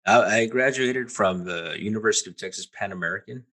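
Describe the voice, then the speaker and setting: A man's voice: fairly low pitch, brisk, sharp tone. A man hosting a podcast interview, stammering and repeating words as he starts his sentences. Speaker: podcast host.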